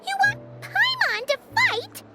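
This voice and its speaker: A woman voicing a small, excitable, childlike fairy companion for a video game, boastful and curious in an exclamatory way. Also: very high-pitched, slow, loud music behind the voice, heavily acted. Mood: excited, boastful. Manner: refers to self in third person, exclamatory.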